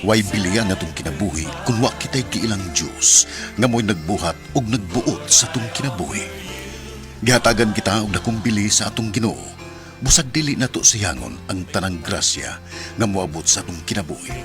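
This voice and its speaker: A man's voice: fairly low pitch, expressive, normal speed. A man with a Cebuano Filipino accent narrating a radio drama in a solemn, scripted, moralizing style. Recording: radio narration over clear music.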